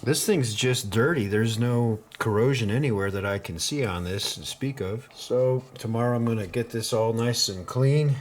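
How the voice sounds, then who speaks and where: A man's voice: medium pitch, moderate pace, hardly any pauses. A man narrating a hands-on repair casually, thinking aloud as he works. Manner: thinking aloud while working. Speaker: hobbyist radio repairer.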